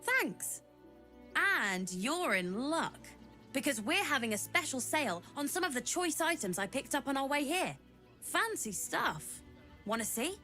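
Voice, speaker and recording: A bright, swooping cheeky trader. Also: female voice, high voice, heavily acted. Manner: playful salesperson's patter. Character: cheeky, wheedling female trader. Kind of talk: video game character acting.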